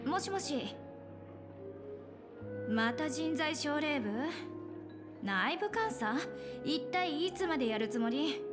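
An actress playing an earnest, polite young businesswoman in a game, crisp in her delivery. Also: sits high, animated, slow pace, pauses often. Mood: irritated, firm. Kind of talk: Japanese game voice acting. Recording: music behind the voice.

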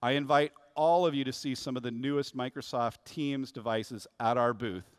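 A middle-aged man delivers a polished, upbeat corporate keynote on a big conference stage. His voice sits at an ordinary pitch and sounds close and dry through a headset mic. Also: moderate pace, clear, resonant tone, no echo. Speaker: corporate vice president giving a keynote. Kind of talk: scripted keynote presentation. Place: large conference stage, indoors.

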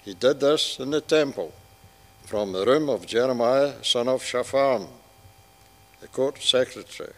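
A man reading Bible scripture aloud to a church service in measured, separate phrases. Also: medium pitch. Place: large church hall. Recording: long church hall reverb.

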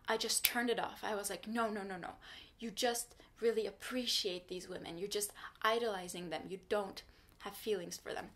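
A young woman from Turkey, a native Turkish speaker, talks straight to the camera in a small bedroom. Her tone is earnest and candid, like she is offering advice. The camera sits a short way in front of her. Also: medium pitch. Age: young adult. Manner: earnest, frank, advice-giving. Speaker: vlogger. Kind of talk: casual talk to camera. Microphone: camera in front at desk distance.

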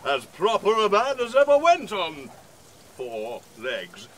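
Man playing a bright, swaggering drunken sailor. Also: high voice, huge pitch swings, slow, a little hiss or hum, heavily acted. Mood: blustering. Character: drunken, swaggering, blustering male ship's butler. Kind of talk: Shakespearean comic audio play acting.

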